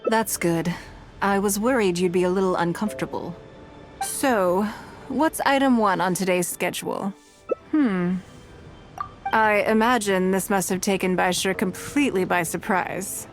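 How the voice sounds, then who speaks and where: An actress playing a poised, wealthy noblewoman in a game, speaking in a composed, gracious and measured way. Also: medium pitch, lightly acted. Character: poised, wealthy noblewoman who loves money. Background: game music.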